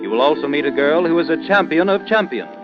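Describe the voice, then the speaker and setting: Lively, emphatic vintage radio announcer man. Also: on the high side, quick pace. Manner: emphatic, polished salesmanlike delivery. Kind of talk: scripted 1930s radio announcing. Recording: dull old radio recording, music behind.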